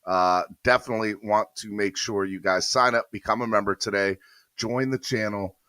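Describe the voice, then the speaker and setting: A man hosting a morning sports podcast, slightly hoarse, talking upbeat and straight to his viewers. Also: lowish voice, fairly even intonation, normal speed, bright, forceful tone, no room sound. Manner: upbeat, addresses viewers directly. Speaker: podcast host. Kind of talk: morning sports show intro.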